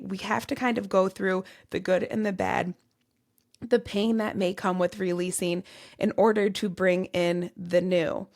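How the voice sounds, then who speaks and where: A Midwestern woman hosts her own podcast, her voice worn raspy and cracking. She has a gravelly edge at a middling pitch and moves through her points earnestly, one item after another. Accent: Midwestern American. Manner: earnest, list-like delivery. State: strained, cracking voice. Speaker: podcast host and tarot reader. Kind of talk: solo podcast monologue on astrology.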